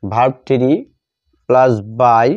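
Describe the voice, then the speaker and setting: A male teacher whose first language is Bengali explains a lesson step by step. His voice is mellow and medium-pitched, and he goes slowly, repeating terms as if writing them out. The recording sounds slightly dull and lo-fi. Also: level tone. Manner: deliberate, repetitive, dictating term by term. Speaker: English grammar teacher.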